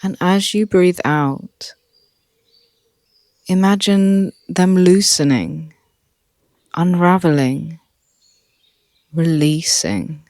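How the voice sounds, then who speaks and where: A meditation guide speaking calmly and softly, leading a relaxation session with long pauses. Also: mid-range voice, no room sound, clean studio sound. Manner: calm, soft, with long pauses.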